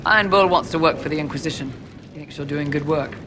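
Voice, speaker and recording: An actor playing a loyal, plain-spoken mercenary soldier in a video game, earnest, businesslike and confident. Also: male voice, fairly high pitch, fast talker, crowd noise, natural voice. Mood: confident, proud. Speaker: mercenary messenger. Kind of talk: video game dialogue acting.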